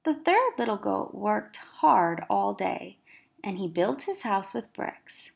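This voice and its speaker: A woman teacher reading a children's story aloud, with expressive, careful emphasis for young listeners. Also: medium pitch, slow pace, gravelly. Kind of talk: children's read-aloud narration.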